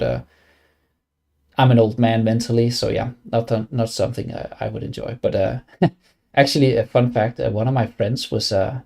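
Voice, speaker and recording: Young Danish man with a gravelly voice. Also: medium pitch, a little mellow. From Denmark. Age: young adult. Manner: rambling, many fillers and restarts. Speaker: game streamer. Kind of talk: casual live stream chat.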